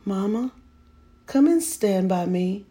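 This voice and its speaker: A woman's voice: mid-range voice, expressive, slow pace, dark tone, voice pushed up. A woman voicing a frightened young boy who pleads tearfully with his mother in a read-aloud memoir. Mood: begging.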